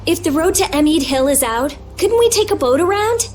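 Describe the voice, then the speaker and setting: High-voiced, resolute young adventurer. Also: female voice, animated, brisk, darkish tone, a little hiss or hum. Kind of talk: video game voice acting.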